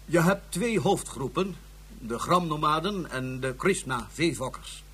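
A man's voice: on the high side, expressive, unhurried, fairly bright tone, slightly rough, exaggerated voice. An actor playing a haughty male Martian ruler, speaking in a formal, condescending way. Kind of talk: radio drama acting.

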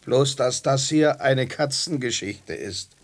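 A man playing a scruffy, proud stray tomcat in a radio drama, blunt and colloquial, with a rough voice. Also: medium pitch, slow, natural voice. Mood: gruff, musing. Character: scruffy proud male stray cat, streetwise and independent.

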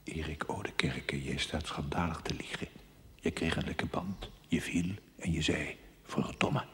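An actor playing a stern, reproving inner voice in a television drama, speaking in curt, whispery rebukes. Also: male voice, very deep voice, measured pace. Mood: accusing. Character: stern inner voice scolding a young priest. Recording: reverberant hall with faint noise.

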